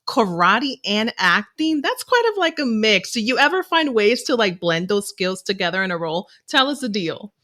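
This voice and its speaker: A woman's voice: mid-range voice, sing-song, moderate pace, hardly any pauses, bright, forceful tone. Female podcast co-host, native Spanish speaker. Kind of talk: casual podcast conversation.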